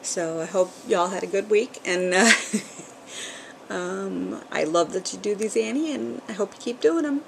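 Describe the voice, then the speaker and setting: A woman's voice: medium pitch, unhurried, vocal fry, background noise. A middle-aged woman from New England with a New England accent and a bit of Southern drawl, chatting casually at home into a camcorder, rambling with lots of ums. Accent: New England, with some Southern. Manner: chatty, rambling, with frequent ums and small laughs. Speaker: vlogger. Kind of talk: casual chat. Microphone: camcorder built-in mic.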